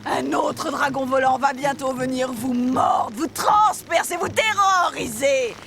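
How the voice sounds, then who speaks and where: Creaky, shrill evil witch. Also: measured pace, a bit bright, heavily acted. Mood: menacing, gloating. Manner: theatrical, menacing, mocking. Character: evil, boastful, gloating old witch. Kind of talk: French cartoon dubbing. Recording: music behind the voice.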